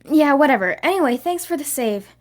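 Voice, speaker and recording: Woman as a high-pitched, snippy young girl. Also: lively intonation, brisk. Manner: snippy, sarcastic. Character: sarcastic, squeamish young girl, bickering cousin. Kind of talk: cartoon table-read acting.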